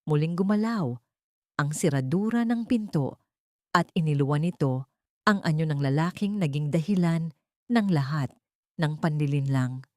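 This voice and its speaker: A woman's voice: sits low, expressive, normal speed, long pauses, a little mellow, dry recording, studio quality. A woman reading scripted, dramatic narration of a love story as a storyteller.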